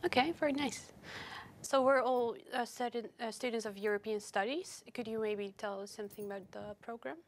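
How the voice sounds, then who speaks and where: A woman's voice: on the high side, fairly even intonation, brisk. A young woman student leading an interview, asking questions and giving brief encouraging replies. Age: young adult.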